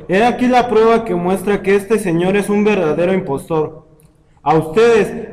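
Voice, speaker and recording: A man's voice: high voice, a little mellow, voice pushed up. A man voicing a defiant young male worker who rallies his comrades in a declamatory, rhetorical speech. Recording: long hall-like reverb.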